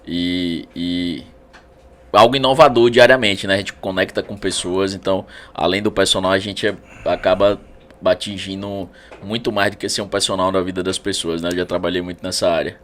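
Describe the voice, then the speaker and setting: A man's voice: deep voice, steady tone, normal speed, a bit bright. A man co-hosting a podcast, chatting casually. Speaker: podcast co-host, former personal trainer. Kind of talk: casual chat.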